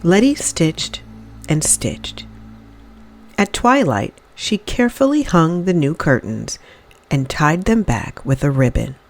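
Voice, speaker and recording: A woman reading a children's story aloud in expressive, dramatic narration with deliberate phrasing. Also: sits low, faint music behind.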